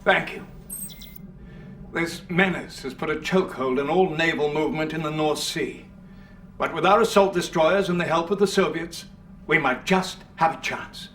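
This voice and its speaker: An actor with a British accent playing a shrewd, formal Allied field marshal in a video game cutscene. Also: male voice, on the high side, a bit bright, lightly acted. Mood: brisk, composed. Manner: formal, clipped military briskness. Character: shrewd, stiff-upper-lip male Allied field marshal. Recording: slightly distant, faint background noise.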